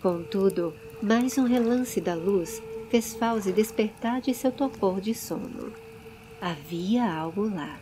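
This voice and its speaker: A woman's voice: mid-range voice, slow pace, background noise. Brazilian lady reading a fantasy audiobook in storyteller style, some ambient sound going on behind her. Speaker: audiobook narrator. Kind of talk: dramatized fantasy story narration.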